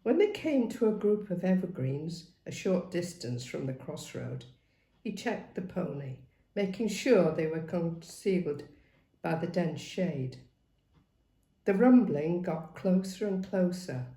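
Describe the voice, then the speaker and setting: Storyteller: middle-aged woman, measured and dramatic, very lively intonation, roomy home recording. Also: lowish voice. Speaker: author reading their own book aloud.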